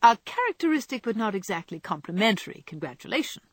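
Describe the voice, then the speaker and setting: A woman's voice: medium pitch, sing-song, fast talker, no room sound, voice pushed up. A woman voicing a mischievous, boyish young married man in a storybook, with playful mock dignity.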